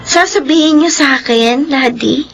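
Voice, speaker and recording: A woman's voice: sits high, unhurried, creaky, faint music behind. A kind, worried young woman. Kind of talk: radio drama acting.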